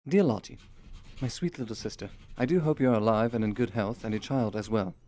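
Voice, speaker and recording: Mellow, courteous Victorian gentleman, acted by a man. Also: on the low side, close to an everyday voice. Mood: fond, hopeful. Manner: formal, polite, measured period diction. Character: courteous, affectionate Victorian older brother living abroad. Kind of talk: letter-reading radio drama acting.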